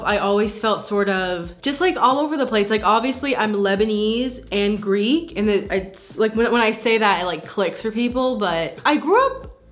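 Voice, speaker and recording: A woman in her twenties talking casually to camera in a vlog, joking and laughing often, filmed at home at arm's length. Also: on the high side. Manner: chatty, joking, frequent laughs. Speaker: vlogger. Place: home room and car. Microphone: camera or phone at arm's length.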